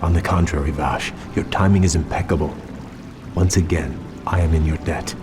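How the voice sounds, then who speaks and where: An actor playing a proud, troubled young elf prince in a fantasy game, speaking in a grave, formal way. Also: male voice, extremely low pitch, fairly even intonation, normal speed. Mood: grave, resolute. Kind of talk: dramatic fantasy game voice acting. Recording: background music under the voice.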